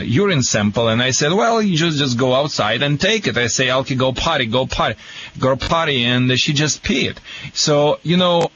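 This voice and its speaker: A man's voice: on the high side, a bit bright, slight background noise. A man, an English speaker, giving blunt, emphatic, repetitive advice on a call-in radio show. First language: not English. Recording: slightly dull radio sound with music.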